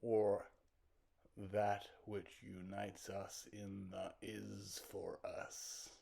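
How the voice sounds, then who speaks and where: A man reciting his own poem as spoken word, in deliberate, broken phrases with halting pauses and repeated words. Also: lowish voice, little pitch movement, gravelly, mellow, breathy edge. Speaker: poet reciting own work.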